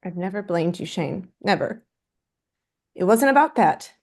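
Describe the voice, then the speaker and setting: A woman's voice: lowish voice, animated, measured pace. A woman playing a grieving but resilient mother, earnest and tender, in a dramatic table read. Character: grieving mother, tender and resilient toward her estranged husband.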